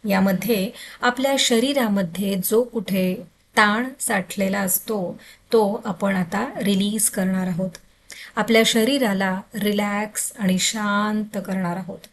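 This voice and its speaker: A woman guiding a meditation with gentle, soothing instruction and deliberate pauses between short phrases. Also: medium pitch. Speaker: meditation guide.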